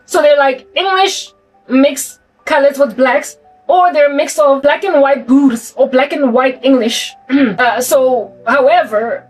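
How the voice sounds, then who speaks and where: A woman's voice: high voice, unhurried, recorded from a distance, soft background music, poor audio. A South African woman with a slight American tinge to her accent, rambling through an unscripted monologue full of rhetorical questions and restarts. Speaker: vlogger.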